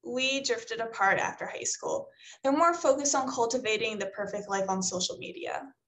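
A woman plays a sociable, friendly young friend in a comedy read. Her voice is high, and she speaks over a video call. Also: normal speed, a bit bright, a little hiss or hum, lightly acted. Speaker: actor in a table read. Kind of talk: comedy table read acting.

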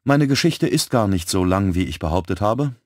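A man voicing a brisk, frank young woman who works as a police typist. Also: on the low side, animated, darkish tone, no echo, studio quality, voice pushed up. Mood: lively.

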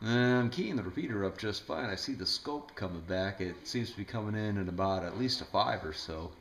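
A man's voice: lowish voice, expressive, brisk, background noise. A man chatting casually over two-way radio as an amateur operator. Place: indoors at home beside a mobile radio.

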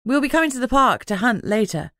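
A woman's voice: on the high side, big pitch swings, quick pace, a bit bright, no room sound, pitched above the performer's own voice. An earnest young female alpha werewolf speaks up decisively in an audiobook, played by a woman narrator. The sound is close and dry. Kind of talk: audiobook character dialogue.

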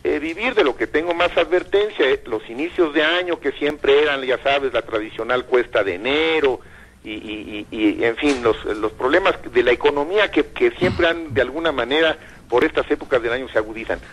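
An older man giving thoughtful commentary in a radio interview, pausing often and repeating words as he thinks. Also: medium pitch, animated, a bit bright, rough recording.

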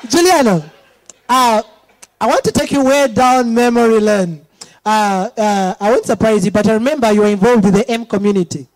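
A man's voice: sits high, normal speed, no room sound, rough recording. A middle-aged man with a Ugandan accent hosting a talk show into a handheld mic, teasing his guest and laughing often between stammered restarts. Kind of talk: joking talk show chat. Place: TV studio set with low lights.

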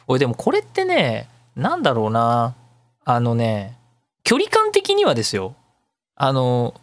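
A man's voice: medium pitch, no room sound. A man hosting a casual solo radio talk, chatty and digressive, explaining at length with self-mocking asides. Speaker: radio show host.

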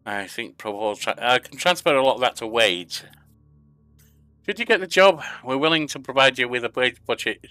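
Older male let's-player, bright tone, faint music under the voice; casual commentary from a desk at home. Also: mid-range voice, lively intonation, normal speed, clear, resonant tone. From England. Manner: reads interview questions aloud and answers them himself. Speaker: gaming YouTuber.

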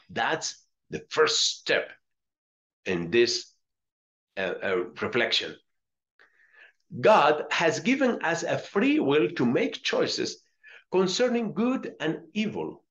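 Slow, expressive Colombian-accented male religious teacher. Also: fairly high pitch, highs cut off. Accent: Colombian Spanish. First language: Spanish. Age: middle-aged. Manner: deliberate, emphatic, repeats phrases. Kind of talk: religious lesson, reading aloud and explaining. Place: small indoor room with curtains.

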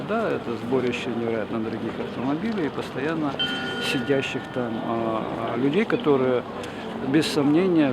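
A man, a journalist, speaking earnestly and with indignation in an outdoor street interview. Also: mid-range voice. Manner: earnest, indignant, building to emphatic points. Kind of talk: opinionated street commentary. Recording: loud street noise with traffic.

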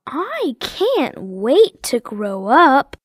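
A woman reads a picture book aloud to young children, slowly, with a lively, sing-song lilt. Her voice sits a little high and the recording is close and dry. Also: breathy edge, no echo, faint music behind. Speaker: reader voicing tiger cubs.

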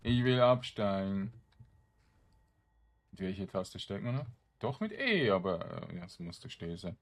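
Male gamer, low-pitched and unhurried, casual let's-play commentary in short fragments. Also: lively intonation.

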